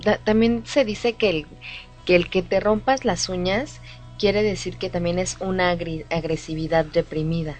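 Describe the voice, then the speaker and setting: A woman's voice: fairly low pitch, steady tone, normal speed, darkish tone, soft background music. A woman hosting a casual radio talk, agreeing often and adding short points. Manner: affirming, many short backchannels. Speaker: radio host.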